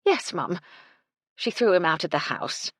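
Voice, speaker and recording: A woman's voice: fairly low pitch, expressive, unhurried, husky, no room sound, studio quality. A woman reading a fretful, homely older housekeeper, deferential and flustered. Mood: worried.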